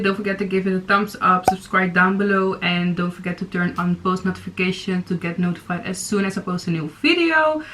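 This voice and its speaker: A woman's voice: mid-range voice, background noise. A young woman hosting a reaction video, talking casually with many fillers and restarts. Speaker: YouTube reaction host.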